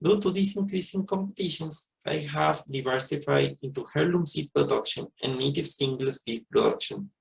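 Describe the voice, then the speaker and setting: Creaky-voiced Costa Rican man, muffled recording. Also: on the high side, moderate pace, hardly any pauses. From Costa Rica. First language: Spanish. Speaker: plant nursery owner. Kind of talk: prepared personal narration.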